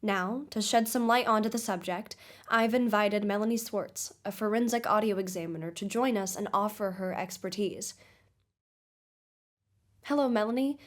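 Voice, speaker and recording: A composed investigative podcast host played by a woman, speaking at a middle pitch. She is formal and measured, narrating and questioning as in a scripted audio documentary. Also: lightly acted. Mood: inquisitive, courteous.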